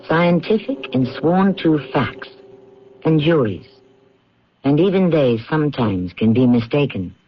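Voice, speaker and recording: Animated, theatrical male radio mystery host. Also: on the high side, slight background noise. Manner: deliberate, theatrical. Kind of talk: scripted dramatic narration. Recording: muffled radio broadcast recording.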